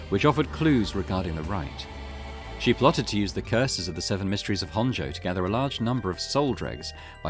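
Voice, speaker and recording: Deep-voiced man reading a game aloud. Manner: reads game text aloud, breaking off for casual asides. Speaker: gaming channel host. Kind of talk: reading a visual novel aloud with commentary. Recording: game music playing behind.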